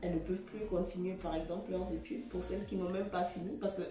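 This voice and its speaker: A woman's voice: on the low side, fairly even intonation, fast talker, mellow, echoey room, far from the mic, faint music behind. A woman hosting a casual interview, friendly and chatty, often laughing and repeating words as she searches for them. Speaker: host.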